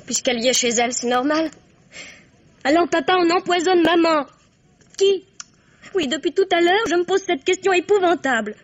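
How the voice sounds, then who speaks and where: An actress playing an anguished, headstrong twenty-year-old daughter in a melodramatic, breathless, stammering 1950s radio mystery style. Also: female voice, extremely high pitch, moderate pace, pauses often. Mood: urgent, suspicious. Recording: dull vintage radio drama recording.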